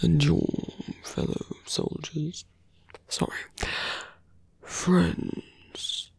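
A man voicing a suave, seductive villain mage in an ASMR roleplay, hushed, deliberate and condescending, with calm menace and a mocking edge, often dropping to a whisper. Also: a little high, vocal fry, slightly rough, no echo, heavily acted. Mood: smug, taunting.

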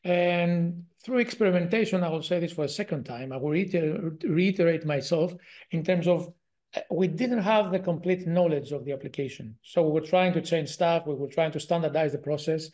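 A man's voice: on the high side, moderate pace, darkish tone. A man in his forties from Greece with a strong Greek accent, a guest on an interview, talking in a hesitant way with many fillers and restarts over a video call. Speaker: guest researcher. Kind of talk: casual interview talk. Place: home office with shelves.

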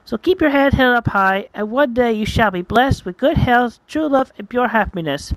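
A woman's voice: a little high, lively intonation, moderate pace, dry recording, pitched above the performer's own voice, close to an everyday voice, low-quality recording. A woman voicing a pious, hopeful young winged female creature with an earnest, sing-song way of preaching, in an anime-style story. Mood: joyful, devout.

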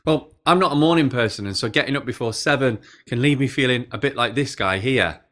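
A man in his thirties with a mid-pitched voice gives a sermon for all ages. He talks straight to his listeners and often puts questions to them, in an engaging, direct manner. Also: a little fast, a bit bright. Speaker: preacher. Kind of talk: all-age sermon, addressing kids and adults. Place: small plain indoor room.

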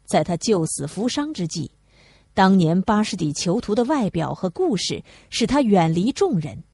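A woman reads literary prose as an audiobook narrator. Her tone is soft-edged and a little breathy, with very varied intonation. The recording is dry. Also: medium pitch, very animated, normal speed, no echo. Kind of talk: scripted literary narration, reading a classic novel aloud.

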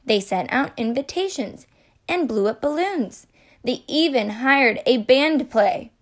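A woman reads a children's storybook aloud with playful expression. Her voice sits fairly high and moves around a lot in pitch, and she takes her time over the words. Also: expressive. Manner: playful, deliberate, acting out asides. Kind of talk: expressive children's picture book reading.

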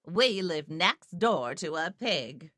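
A man voicing a bossy, prim woman who is the elder sister, speaking in a haughty, drawn-out way in a storybook reading. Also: fairly high pitch, lively intonation, bright, forceful tone, dry recording, clean studio sound, pitched above the performer's own voice, exaggerated voice. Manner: haughty, drawn-out commanding delivery. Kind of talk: storybook read-aloud.

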